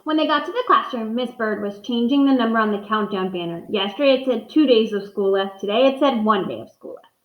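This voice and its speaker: A woman teacher with a fairly high voice reads and talks to young pupils. Her delivery is expressive and geared to children. The sound is echoey and a little dull. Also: a little fast. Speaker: primary school teacher reading aloud. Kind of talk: storybook read-aloud with casual asides to pupils.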